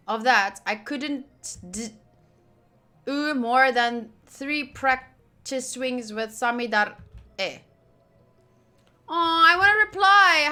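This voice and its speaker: Playful female game streamer, high bright voice, reads story text aloud and reacts casually, music behind. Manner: playful, expressive, swears casually. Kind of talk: visual novel playthrough, reading text aloud with casual reactions.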